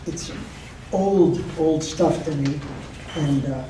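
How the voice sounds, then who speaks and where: An older man from Michigan, speaking to a club audience as a guest speaker, slow and measured with frequent pauses and fillers. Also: fairly high pitch, noisy background. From Michigan, USA. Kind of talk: informal talk to a club audience. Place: meeting room. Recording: roomy echo, heard from a distance.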